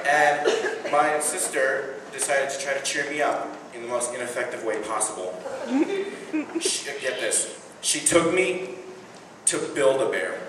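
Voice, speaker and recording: A man's voice: mid-range voice, measured pace, long pauses. Teenage boy doing stand-up in an echoey hall. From Indiana. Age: teens. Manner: self-deprecating, punchy delivery. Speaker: stand-up comedian. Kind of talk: stand-up routine. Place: large indoor venue with audience. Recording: echoey hall from far off with noise.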